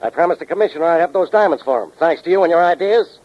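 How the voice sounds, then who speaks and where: An actor playing an exasperated, loud and demanding police inspector in a 1940s radio crime drama. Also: male voice, a little high, lively intonation, racing, close to an everyday voice. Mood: angry, demanding. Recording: muffled, noisy old radio recording.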